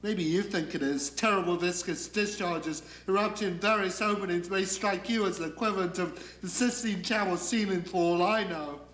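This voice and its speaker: A man's voice: high voice, normal speed, hardly any pauses, a bit bright. A man acting a theatrical comic monologue as a neurotic, negative character, wry and confiding. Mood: wry, disdainful. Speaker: actor performing a monologue. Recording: echoey room.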